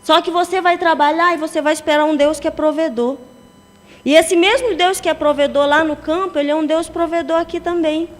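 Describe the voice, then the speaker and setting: A woman's voice: very high voice, fairly even intonation, a little fast. A woman pastor and missionary preaching a sermon with a Brazilian Portuguese accent, often asking the congregation "amém, queridos?". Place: church hall. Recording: long hall reverb.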